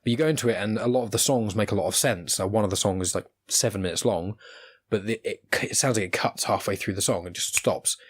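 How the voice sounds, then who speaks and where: A man's voice: on the low side, quick pace, slightly rough, no echo. A man hosting a podcast, chatting casually in an enthusiastic, rambling, earnest way. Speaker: podcast host. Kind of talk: casual conversational interview.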